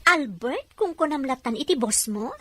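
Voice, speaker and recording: A woman with an Ilocano accent acting a gentle, worried wife and daughter in an audio drama. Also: sits high, animated, normal speed, no room sound. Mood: soft, affectionate. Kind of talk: serial radio-style drama in Ilocano.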